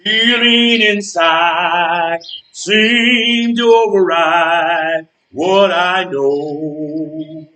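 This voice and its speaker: A man's voice: high-pitched, pushed and bright, exaggerated voice. Man acting a remorseful soul pleading with God, roomy echo. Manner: earnest, pleading, drawn-out delivery. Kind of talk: gospel stage play with soulful singing. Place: stage in a large room. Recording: roomy, echoing stage, distant microphone.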